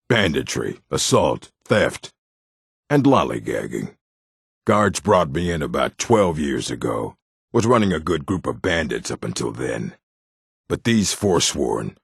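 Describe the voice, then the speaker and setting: An actor playing a hardened orc convict in a video game, cold, blunt and menacing, with a gravelly voice. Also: male voice, extremely low pitch, dry recording, studio quality, heavily acted. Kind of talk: video game character acting.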